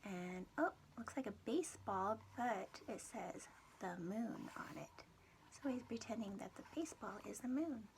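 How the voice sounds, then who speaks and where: A woman preschool teacher reading a storybook aloud and chatting to young children, expressive and full of questions for her listeners. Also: mid-range voice, a little slow, vocal fry, a little hiss or hum, poor audio.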